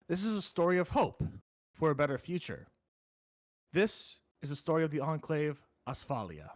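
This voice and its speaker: A man's voice: on the high side, dry recording. A man running a tabletop story game on a voice call, explaining the rules casually and reading the world lore in a solemn storybook tone. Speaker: game facilitator.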